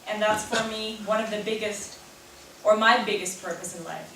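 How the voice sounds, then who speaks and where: A woman's voice: medium pitch, steady tone, moderate pace, a bit bright. A woman speaking earnestly to a live audience at a talk event, answering questions at length. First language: not German; English not stated as first. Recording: very echoey room, distant mic, faint noise.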